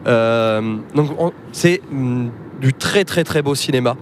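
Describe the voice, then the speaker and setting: A man's voice: mid-range voice, takes it slowly, noisy background. A young man chatting casually on a podcast, enthusiastic but hesitant, reeling off lists from inside a moving car. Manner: enthusiastic, list-making, hesitant. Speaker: podcast co-host. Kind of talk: casual chat.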